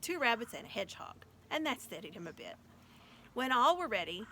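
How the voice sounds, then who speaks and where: A woman's voice: medium pitch, sharp tone. A woman narrating a children's story expressively as an audiobook reader. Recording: faint background noise.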